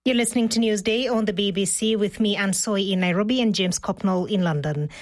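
A woman presenting radio news, reading the headlines in a crisp, formal manner. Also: medium pitch, fairly quick.

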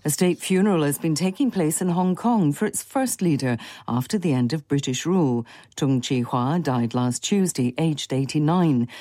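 A woman reading the radio news in a steady, formal way. Her voice is low and mellow, recorded close and dry in a studio. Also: no echo. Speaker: newsreader. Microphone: studio microphone.

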